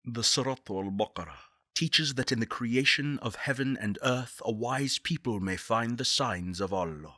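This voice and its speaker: A man playing an earnest, eloquent space-program director in a video game, speaking in measured, deliberate oratory. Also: mid-range voice, bright, forceful tone, no room sound, clean studio sound. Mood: reverent, visionary. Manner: articulate, deliberate, solemn.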